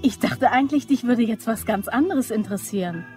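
A woman's voice: a little high, animated, fairly quick, a little mellow, soft background music, lightly acted. An actress playing a guarded, teasing young office woman in a German crime radio play. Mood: matter-of-fact, coy.

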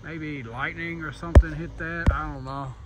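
A man's voice: steady tone, moderate pace, loud music behind the voice. A man who drives trucks, talking casually to viewers in a folksy, informal way while filming outdoors on a phone. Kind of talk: casual vlog narration to viewers. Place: outdoors on a roadside at night. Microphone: handheld phone. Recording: clear outdoor vehicle noise behind voice. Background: rumbling truck engine.

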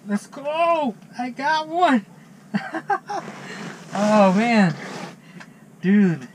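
A man's voice: very high voice, very animated, very slow, slight background noise, rough recording. A man in his thirties chatting casually to camera from a small ice fishing shanty, laid-back and chatty, dropping "dude" and "man.". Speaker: fishing vlogger. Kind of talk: casual vlog talk to camera. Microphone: action camera mounted inside the shanty. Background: background music.

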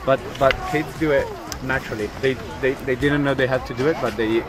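A man teaching beginners to ski, calm and reassuring, outdoors on the slope. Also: mid-range voice, fairly quick, over music, low-quality recording. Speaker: ski instructor. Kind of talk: instructing beginners.

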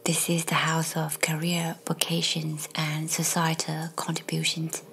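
A woman reading a scripted guided meditation in a soft, breathy, near-whispered voice, gentle and measured with long pauses. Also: sits low, monotone, a little hiss or hum. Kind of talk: slow, soothing guided meditation, scripted narration. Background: faint music.

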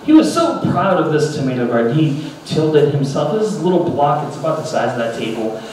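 A man in his thirties doing stand-up, telling a childhood story with animated, ranting bursts into a handheld mic on a small club stage. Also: fairly high pitch, fast talker. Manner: animated, ranting bursts when acting out his younger self. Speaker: stand-up comedian. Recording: roomy club sound from a distant camera. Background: audience laughter and room noise.

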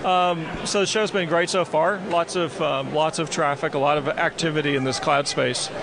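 A man's voice: fairly high pitch, fairly even intonation, normal speed, vocal fry. A man in his forties, a company executive, answering interview questions on a busy trade show floor with many "um" fillers, speaking into a handheld mic. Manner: lots of "um" fillers, gestures while talking. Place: busy expo hall floor. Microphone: handheld mic held by interviewer. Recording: noisy crowded expo hall. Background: crowd chatter.

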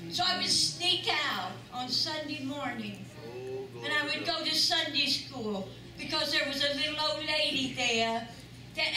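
Older woman, high voice, slow earnest testimony, echoey sanctuary from far off, noisy. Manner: earnest, emphatic repetition. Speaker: church member giving testimony. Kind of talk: spoken testimony in church. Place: large church sanctuary with audience.

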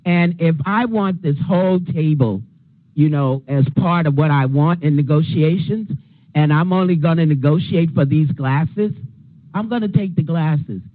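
A middle-aged woman with a big, forceful voice, answering as a panelist from a union background. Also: low-pitched, unhurried, no echo. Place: conference room with a panel table. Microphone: handheld mic. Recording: tinny phone-like sound.

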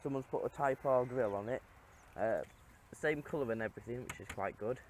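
A man chats casually about his car while recording on a head-mounted camera on a quiet rural lane. His voice sits at an ordinary middle pitch, and his delivery is relaxed and rambling, full of ums and restarts. Some faint outdoor noise is audible behind him. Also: a little fast. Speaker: car vlogger. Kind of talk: casual walkaround commentary. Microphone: head-mounted action camera.

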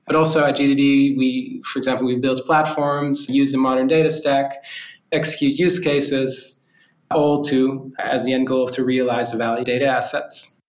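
A man speaking as a guest who tells his story steadily, with many "you know" and "uh" fillers. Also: mid-range voice. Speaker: lead data scientist guest. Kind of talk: casual interview answers. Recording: roomy, dull remote audio.

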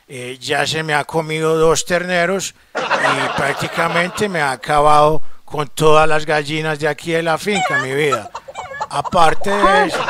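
A Colombian man making a comedic prank call, smooth-talking and full of pet names. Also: a little high. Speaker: prank caller, show host. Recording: very noisy phone-call recording.

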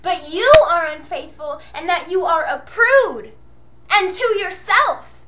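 A woman poet voicing her own passionate, wounded dark inner self, accusing and declamatory in old-fashioned diction. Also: very high-pitched, lively intonation, slow pace, heavy background noise, heavily acted. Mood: accusing, probing. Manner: theatrical, declamatory, archaic diction.

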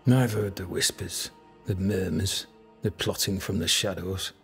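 An actor playing a despairing, fatalistic fort captain in a video game, speaking in formal, doom-laden phrases with bitter grandeur. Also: male voice, low-pitched, fairly even intonation, gravelly, faint music behind. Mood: grim, doom-laden. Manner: slow, grim, doom-laden formal speech. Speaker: military officer character in a video game. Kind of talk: dramatic video game acting.

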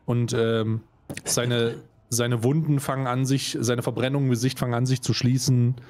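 A man's voice: medium pitch, little pitch movement, normal speed, darkish tone, slight background noise. A man playing a tabletop role-playing game, improvising narration of his character in the third person. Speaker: player in tabletop role-play.

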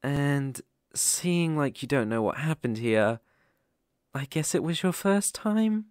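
Man acting a slow, gentle British werewolf. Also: fairly high pitch, expressive, dry recording, clean studio sound. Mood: hesitant, earnest. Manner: soft-hearted, reassuring, halting and pausing. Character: gentle, protective male lone werewolf living in a forest cabin. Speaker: ASMR roleplay performer. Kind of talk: ASMR roleplay acting.

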